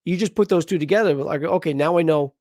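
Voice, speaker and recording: A man's voice: fairly high pitch, fast talker, no room sound, clean studio sound. A man hosting a casual live reaction chat, talking in a rambling way with lots of restarts. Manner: rambling, restarts often, animated. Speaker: host.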